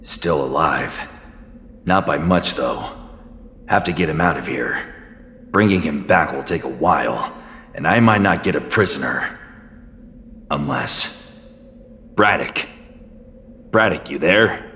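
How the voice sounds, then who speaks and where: An actor playing a gruff, weary, tough brawler, muttering sardonically in a superhero audio drama. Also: male voice, a bass voice, lively intonation, slow, pauses often, bright, forceful tone, exaggerated voice. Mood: grim frustration. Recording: echoey tunnel reverb, music underneath.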